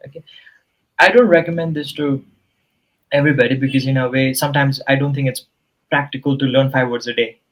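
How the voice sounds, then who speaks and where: A man whose first language is Nepali speaks English as a guest tutor. His voice sits at a middling pitch. He explains his learning habits earnestly and conversationally, often pausing to check in with the listener. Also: steady tone, poor audio. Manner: earnest, explanatory, with frequent "you know" and "right?". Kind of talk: casual conversational interview.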